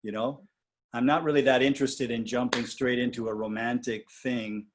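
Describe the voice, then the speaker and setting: A man talking reflectively in a casual conversation with a dating coach, pausing and restarting often. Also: medium pitch, fairly even intonation, slight background noise. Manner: reflective, halting with many restarts and fillers.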